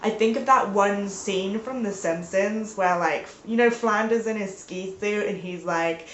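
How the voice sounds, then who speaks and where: A woman's voice: medium pitch, a little slow, slight background noise. A young woman vlogging from home, chatting casually with lots of "like"s and playful outbursts, sounding stuffed up from a sinus infection. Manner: rambling, peppered with "like", playful exclamations. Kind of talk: casual chatty video talk. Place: indoors at home. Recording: roomy, echoey home recording.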